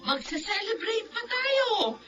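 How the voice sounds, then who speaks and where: A woman's voice: extremely high pitch, very animated, takes it slowly, bright, forceful tone, highs cut off, faint music behind, exaggerated voice. A woman playing an elderly Filipino grandmother, remorseful and fond, in an emotional drama. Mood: fond, eager.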